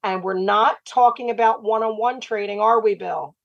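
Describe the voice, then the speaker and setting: Slightly raspy woman hosting radio. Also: mid-range voice, normal speed, no echo, lo-fi sound. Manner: upbeat, steady and talkative. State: slightly raspy after laryngitis. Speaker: radio show host. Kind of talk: radio talk show hosting.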